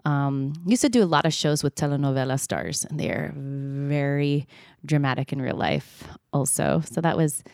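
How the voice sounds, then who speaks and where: A woman's voice: low-pitched, expressive, moderate pace, creaky, a little mellow, clear, resonant tone, no room sound, studio quality. A woman in her thirties, a singer, telling candid stories about her gigs in a casual chat, with lots of fillers and easy laughs. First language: not Spanish; sings in Spanish. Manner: chatty, candid, laughs easily, many fillers. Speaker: singer and podcast co-host.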